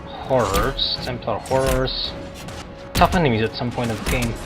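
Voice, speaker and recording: A man giving casual, halting gameplay commentary as he hosts a gaming video. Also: medium pitch. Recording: game music and battle noise behind. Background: video game music and combat sounds.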